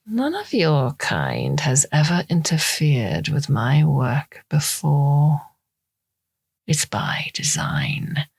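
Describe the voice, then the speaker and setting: A woman doing a superior, menacing man who drags out his words in a cold whisper. He sounds condescending and unhurried, with a husky low edge. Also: huge pitch swings, no echo, studio quality, exaggerated voice.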